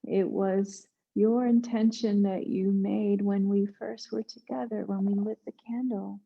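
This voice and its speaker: A woman guiding a yoga nidra meditation in a hushed, soothing manner, leaving long pauses between instructions. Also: medium pitch, fairly even intonation, creaky, lo-fi sound. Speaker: meditation guide.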